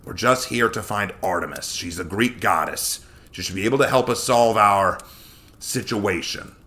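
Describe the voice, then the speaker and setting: A man's voice: on the low side, moderate pace, sharp tone. A man voicing a weary, conciliatory man who sighs and groans through a storybook reading. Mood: placating. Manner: sighing, groaning, placating. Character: weary, conciliatory man defending his choices to friends.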